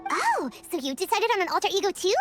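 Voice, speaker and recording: A woman voicing a small, childlike fairy companion in a video game, squeaky, perky and eager. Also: sing-song, fast talker, fairly bright tone, heavily acted. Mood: curious, supportive. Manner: perky, refers to self in third person. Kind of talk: video game character acting. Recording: music behind the voice.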